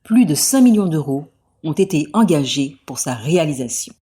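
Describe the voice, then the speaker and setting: A woman reading a scripted report narration in a measured, informative way. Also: deep voice, very animated, warm tone, slightly rough.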